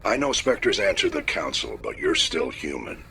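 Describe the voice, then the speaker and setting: Very deep, gravelly military admiral. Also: male voice, wildly swooping pitch, normal speed, sharp tone, full character voice. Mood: urgent, firm. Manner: authoritative, formal, direct. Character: gruff, commanding older military admiral giving mission briefings. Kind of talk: video game voice acting, military briefing.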